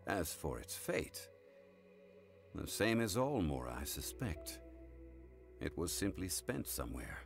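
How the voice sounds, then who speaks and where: An actor playing a calm, learned gentleman in a game, speaking in a measured, formal and courteous manner. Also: low voice, faint music behind, full character voice. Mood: calm, reasoned. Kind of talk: English game voice acting.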